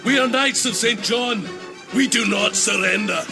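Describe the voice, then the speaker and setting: Resolute knight, music behind. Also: male voice, sits high, unhurried. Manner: resolute, terse. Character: steadfast, wary Scottish knight commander. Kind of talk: video game cutscene acting.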